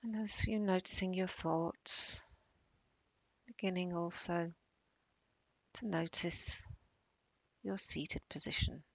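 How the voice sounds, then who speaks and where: Female meditation guide: soothing, very slow delivery, soft breathy endings, close dry sound. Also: on the low side, no echo, rough recording. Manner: calm, soothing, unhurried with long pauses. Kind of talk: guided body-scan meditation.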